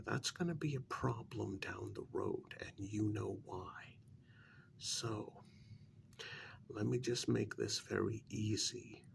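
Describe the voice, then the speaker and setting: Whispering, gravelly male adviser to vampires played by a man. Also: lowish voice, exaggerated voice. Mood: patient, firm. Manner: measured, deliberate, explanatory. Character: frank, patient male adviser to a cursed vampire. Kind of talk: ASMR role-play, soft speaking.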